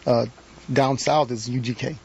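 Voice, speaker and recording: A man giving a halting, spontaneous news interview soundbite, repeating words as he goes. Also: mid-range voice, steady tone, a little mellow. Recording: muffled archived TV clip, faint ambient noise.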